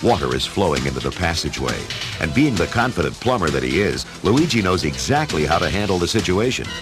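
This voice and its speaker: Male storyteller, very low voice, lively dramatic inflection, scripted narration, music underneath. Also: fast talker. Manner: theatrical, storytelling.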